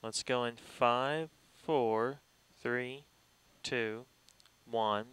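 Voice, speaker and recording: A man's voice: medium pitch, steady tone, very slow, fairly bright tone, clear, resonant tone, no room sound. A man directing a live television newscast, calling clipped cues and countdowns with the odd joking aside.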